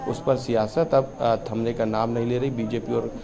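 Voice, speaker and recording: A man giving an unscripted live news report in a halting way with many fillers. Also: medium pitch, flat delivery, vocal fry, warm tone.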